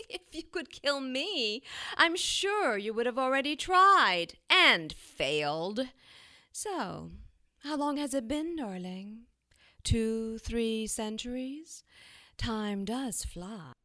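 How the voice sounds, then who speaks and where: An ancient, cruel witch in an audio drama. Her pitch swoops widely as she taunts and mocks, taking her time over each phrase. The sound is dry and close. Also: expressive, bright, forceful tone, no echo, full character voice. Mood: taunting. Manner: taunting, theatrical, drawn-out. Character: cruel, mocking, centuries-old witch who curses enemies.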